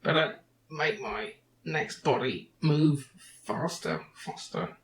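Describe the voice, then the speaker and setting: A man voicing a small, loyal, snarky robot companion in a slow, stilted robotic delivery. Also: a little high, fairly bright tone, voice pushed up, rough recording. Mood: urgent.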